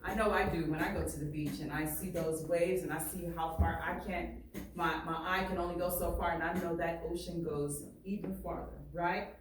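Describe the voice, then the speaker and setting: A woman's voice: lowish voice, fairly even intonation, moderate pace. A woman teacher reading aloud to a class, speaking emphatically and often checking in with her listeners. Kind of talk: expressive classroom read-aloud with questions to pupils. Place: echoey classroom, mic at a distance. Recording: roomy echo, distant mic, faint noise.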